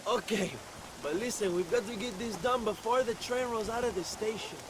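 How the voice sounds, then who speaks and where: An actor playing a grandiose, self-important Mexican revolutionary leader in a game cutscene, with a Mexican accent and theatrical, halting delivery. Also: male voice, high-pitched, noisy background, lightly acted. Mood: conspiratorial. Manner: pompous, theatrical, halting repeats. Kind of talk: video game cutscene acting.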